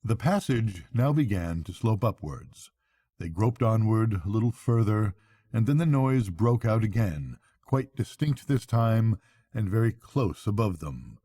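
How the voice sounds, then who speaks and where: An older man narrates a children's bedtime story. He takes his time, letting his pitch rise and fall widely, in a close, dry recording. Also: lively intonation, unhurried, mellow, slightly rough, no echo. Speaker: grandfather reading a bedtime story.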